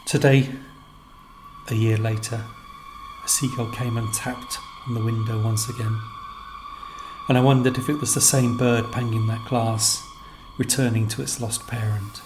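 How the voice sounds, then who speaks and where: A man reading scripted literary narration as a storyteller, in measured, deliberate phrases with pauses. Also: mid-range voice, flat delivery. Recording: music under the voice. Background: music.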